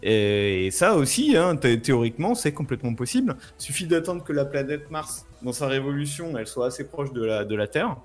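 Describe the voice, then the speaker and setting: A man's voice: mid-range voice, sing-song, brisk, hardly any pauses, soft background music. A man explaining things informally in casual chat, using slangy wording.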